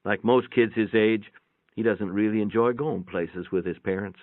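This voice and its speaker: Through a narrow, phone-like recording with very little room sound comes a man reading fiction aloud. His voice sits on the low side, and he narrates in the first person with a folksy, matter-of-fact delivery. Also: normal speed, no room sound.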